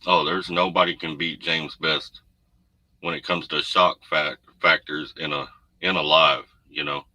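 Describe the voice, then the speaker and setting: A man's voice: little pitch movement, measured pace, sharp tone, no echo, low-quality recording. A man, hosting a casual livestream from home and chatting through viewer comments in a laid-back, rambling way. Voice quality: deep voice. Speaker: trucker livestream host. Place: home room. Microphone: desk USB condenser mic. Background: noise.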